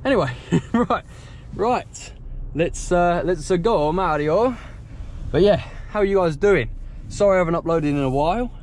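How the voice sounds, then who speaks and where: A man's voice: high-pitched, expressive, moderate pace, darkish tone. A young man with a British accent, chatting casually and ramblingly to viewers as a car vlogger, recorded inside a car on a head-mounted camera. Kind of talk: casual chat to viewers while driving. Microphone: head-mounted action camera.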